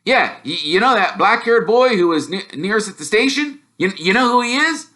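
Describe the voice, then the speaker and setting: A man voicing cheeky, excitable teenage twin boys in a storybook, loud, eager and joking. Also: sits high, normal speed, voice pushed up. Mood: excited.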